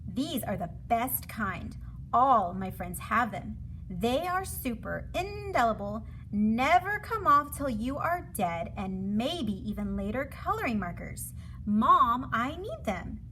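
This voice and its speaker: A woman's voice: on the high side, very animated, slow pace, bright, forceful tone, slight background noise, heavily acted, poor audio. A woman voicing an eager, insistent little girl who pleads and wheedles in a children's storybook. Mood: pleading, excited.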